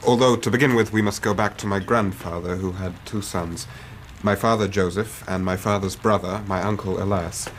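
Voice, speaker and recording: A man acts an earnest young English client in a period radio drama. His voice is quite deep, his telling measured and formal. There is faint noise in the recording. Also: fairly even intonation, lightly acted. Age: young adult.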